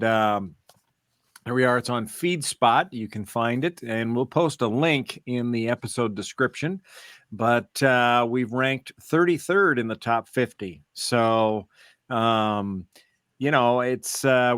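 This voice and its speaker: A man's voice: medium pitch, a bit bright, no room sound, studio quality. A man hosting a casual podcast chat in a rambling, hesitant way. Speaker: podcast host.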